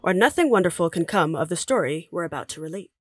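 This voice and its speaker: A woman's voice: lowish voice, expressive, quick pace, no room sound, clean studio sound. A woman narrating a story theatrically, with emphatic, dramatic delivery. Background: music.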